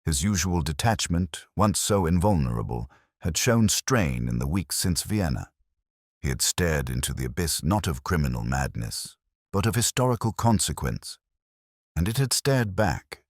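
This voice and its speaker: A man reading a detective story as a scripted audiobook narration in a measured, solemn, literary manner. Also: low-pitched, sing-song, no echo, clean studio sound.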